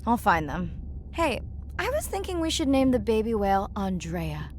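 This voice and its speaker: A woman's voice: mid-range voice, sing-song, normal speed, natural voice. Woman acting an earnest young ocean researcher, faint noise. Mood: playful. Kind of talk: naturalistic video game acting.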